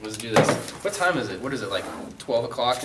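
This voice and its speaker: A man's voice: medium pitch, sing-song, a little fast. A man co-hosting a casual chat show, joking and bluntly cutting in with questions. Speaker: show host. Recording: roomy echo, distant mic, faint noise.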